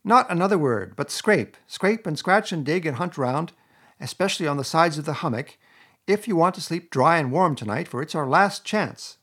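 A man voicing an excitable, impatient rat in a storybook, kindly but bossy, with an urgent, exclamatory manner. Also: fairly high pitch, moderate pace, pitched above the performer's own voice. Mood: angry, urgent. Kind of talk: storybook character voice.

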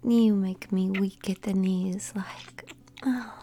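A woman acting an affectionate, aroused lover in an intimate adult audio roleplay, speaking in a breathy, halting whisper with sighs between phrases. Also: medium pitch, steady tone, dark tone. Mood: flirty, coy. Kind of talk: intimate erotic audio roleplay addressed to the listener.

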